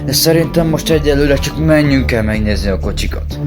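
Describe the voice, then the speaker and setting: A man playing a cool, steady combat driver in a fan audio drama, composed and matter-of-fact. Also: medium pitch, over music, natural voice. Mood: relaxed.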